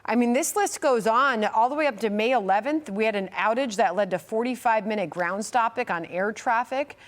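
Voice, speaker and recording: A woman's voice: fairly high pitch, normal speed. A woman anchoring a live news program, interviewing in a conversational style.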